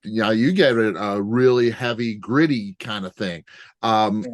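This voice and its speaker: Low-voiced male interviewer, dry sound. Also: unhurried, no echo. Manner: chatty, lots of fillers. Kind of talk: interview questions.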